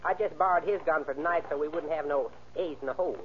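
An actor playing a cagey small-time crook in an old radio crime drama, giving orders in clipped gangster slang. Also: male voice, fairly high pitch, fast, lightly acted. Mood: impatient. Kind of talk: 1940s radio acting. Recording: narrow-band old radio recording, faint noise.

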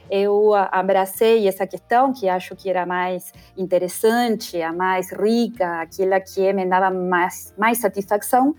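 A middle-aged woman with an Argentine accent, a Spanish speaker talking as a podcast guest, pausing often with fillers and restarts. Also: mid-range voice, darkish tone. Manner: hesitant, many fillers and restarts. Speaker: guest brand consultant. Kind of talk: podcast interview.

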